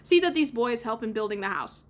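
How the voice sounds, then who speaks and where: A woman voicing a bossy boy who leads a gang of children, loud and commanding in a storybook reading. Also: on the high side, lively intonation, fairly quick, natural voice. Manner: commanding, declarative. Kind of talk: storybook read-aloud character voice.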